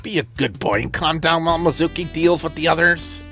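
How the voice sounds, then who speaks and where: A man's voice: on the high side, brisk, creaky, pushed and bright, faint music behind. A man voicing a sly villainous woman in a game, teasing and menacing with condescending endearments. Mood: stern.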